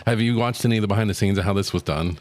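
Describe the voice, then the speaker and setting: A man's voice: lowish voice, rapid-fire, no room sound. A man co-hosting a podcast, chatting casually and chiming in with short reactions. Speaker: podcast co-host.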